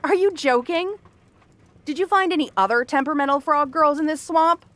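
A woman's voice: high-pitched, moderate pace, fairly bright tone, dry recording. A woman playing a cranky, sarcastic exiled princess cursed into a frog girl, in a fantasy audio roleplay. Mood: exasperated. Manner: snappish, sarcastic, tsundere tomboyish bluster. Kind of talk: fantasy ASMR roleplay acting.

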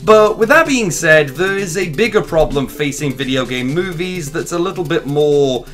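A man's voice: on the high side, lively intonation, normal speed, a bit bright, faint music behind. A young man delivers scripted review commentary in an opinionated, emphatic and wry manner. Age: young adult. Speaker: video essay host and reviewer. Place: small room indoors.

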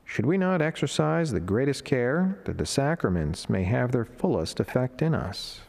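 Man, middle-aged, mid-pitched voice, vocal fry, solemn measured delivery, devotional reading at a lectern. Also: sing-song, darkish tone. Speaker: Franciscan friar preacher. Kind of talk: read devotional reflection and prayer. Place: indoor chapel, at a lectern beside the altar. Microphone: lectern microphone.

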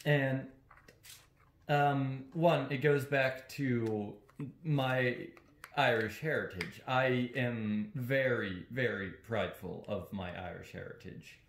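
A young man, chatting casually in a halting, deliberate way. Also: mid-range voice. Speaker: co-host answering and asking questions. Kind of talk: casual chat. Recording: roomy, echoing sound.

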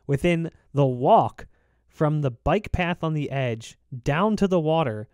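A man in his thirties, a podcast host, telling animated stories over a video call with lots of filler words. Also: fairly high pitch, measured pace, no room sound, studio quality. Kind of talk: casual chat, storytelling. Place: home room, indoors. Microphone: desk mic on video call, headphones.

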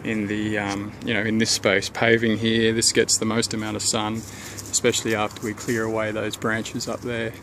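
A man, thinking aloud at an unhurried pace as he walks around his house and plans renovation work, pausing often with ums and restarts. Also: on the low side, completely flat delivery, fairly bright tone, a little hiss or hum. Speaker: homeowner giving a renovation tour. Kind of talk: casual thinking-aloud walkthrough. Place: outdoors around a house, under a deck and in a garden. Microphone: handheld camera held by the speaker.

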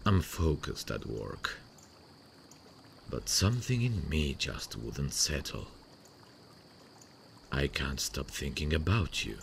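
Sweet boyfriend played by a male actor for ASMR audio roleplay: creaky fry, expressive melody, intimate and soothing. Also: a bass voice, slight background noise, full character voice. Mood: shy, longing. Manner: tender, soothing, hesitant pauses. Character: sweet, devoted long-distance boyfriend on a late call. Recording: faint running-water nature sounds behind. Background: stream nature sounds.

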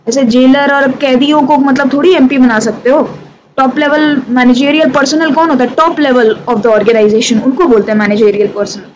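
High-pitched Indian woman teaching. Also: fairly even intonation, normal speed, darkish tone, poor audio. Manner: conversational, addresses students directly, checks in often. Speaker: teacher of company law exam revision. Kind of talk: informal lesson mixing Hindi and English. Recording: clearly audible background noise.

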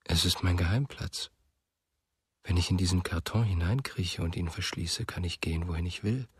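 Very deep, husky, brooding narrator. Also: male voice, moderate pace, no room sound, studio quality. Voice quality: husky, gravelly. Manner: reflective, hushed. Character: brooding man recalling his childhood friend. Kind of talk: literary radio drama narration.

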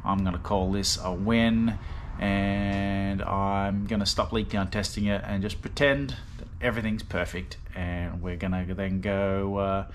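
A man casually narrating his motorbike rebuild in a relaxed, rambling way, with lots of fillers and dry self-deprecating asides. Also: low-pitched, measured pace, a little hiss or hum. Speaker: motorbike restoration vlogger. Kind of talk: casual workshop voice-over narration.

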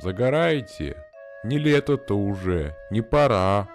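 A man's voice: lowish voice, big pitch swings, measured pace, faint music behind. A man voicing a talkative grown-up neighbor in an amateur cartoon who muses in a folksy way.

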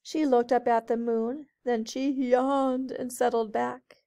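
A woman teacher reading a storybook aloud to children in a measured, sing-song way. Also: a little high, level tone, dark tone. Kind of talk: storybook read-aloud narration.

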